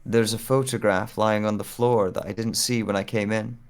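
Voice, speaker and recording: Man performing anxious lost searcher, audio drama monologue, deliberate delivery, creaky low tone. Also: steady tone, natural voice. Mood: puzzled, searching. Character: anxious searcher with lost memories, narrating a journal.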